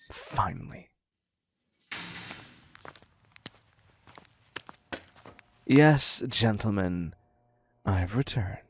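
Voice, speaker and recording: A man's voice: on the low side, very slow, a little hiss or hum. A man playing a theatrical, clingy serial killer in an audio roleplay, wry and mock-courteous as he dotes on his captive. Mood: sardonic, grandiose. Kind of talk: scripted dark romance audio roleplay.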